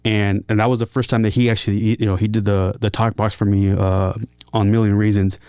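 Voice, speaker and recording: Low-voiced man, halting and earnest. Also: little pitch movement, a little mellow, smooth, no echo. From San Diego, California. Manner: halting, frequent repeated words, earnest. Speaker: interview guest, talkbox musician and rapper. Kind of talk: casual personal storytelling.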